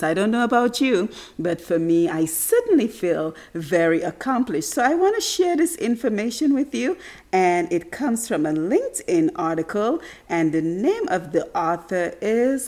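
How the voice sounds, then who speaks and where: A woman's voice: fairly low pitch, a little mellow. Congested woman hosting an upbeat talk show. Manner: upbeat, earnest, speaks straight to listeners. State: congested, slightly raspy from allergies. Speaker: radio show host. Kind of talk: motivational talk show monologue.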